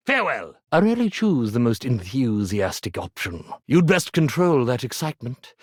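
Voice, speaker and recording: An actor playing a grandiose, melodramatic stage magician in a video game, with florid and pompous theatrical diction. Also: male voice, medium pitch, husky, dry recording, studio quality. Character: grandiose theatrical stage magician, living iron and ironing board. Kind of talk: video game character voice acting.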